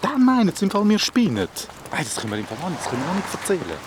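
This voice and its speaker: Bossy schoolboy, Swiss German, swooping raised pitch, slangy exclamations, audio drama. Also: male voice, wildly swooping pitch, very fast, noisy background. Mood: embarrassed, excited. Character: excitable, bossy schoolboy, touchy big-mouth. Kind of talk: children's audio drama dialogue.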